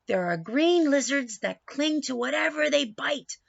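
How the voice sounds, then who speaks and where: A woman's voice: sits high, animated, measured pace, vocal fry, dull audio, voice pushed up, heavily acted. A woman voicing a caring, dreamy mother from a children's storybook. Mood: dreamy, wistful. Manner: dreamy reminiscing, with firm calls of caution.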